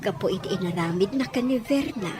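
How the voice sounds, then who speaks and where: A woman's voice: medium pitch, lively intonation, a little fast, over music, lightly acted. A woman playing an ashamed, apologetic mother in an audio drama. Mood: ashamed, subdued. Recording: noisy, with crowd ambience behind.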